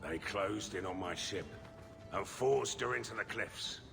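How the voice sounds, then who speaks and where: A shady, wary merchant who used to be a raider, in a video game. His voice is gravelly and grumbling, ringing in a long reverb under loud music. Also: on the low side, unhurried. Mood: irritated, earnest. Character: jumpy ex-raider man turned shady merchant. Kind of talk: video game cutscene acting.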